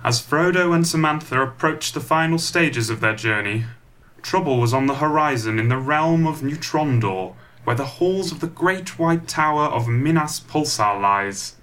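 A man narrating a comic pantomime in a scripted, dramatic storytelling style with deliberate phrasing. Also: on the high side, animated, fairly bright tone.

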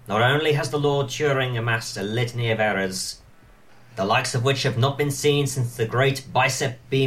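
A man playing a wise, solemn elf lord in a comic pantomime, speaking in portentous pauses, recorded at a distance. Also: medium pitch, a bit bright, natural voice. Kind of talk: amateur comic pantomime acting.

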